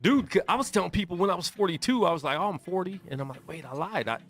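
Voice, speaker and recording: A man's voice: high voice, lively intonation, fast talker, faint music behind. A man in his forties hosting a radio show, chatting casually in the studio and laughing often. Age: 40s. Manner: laid-back, laughs often.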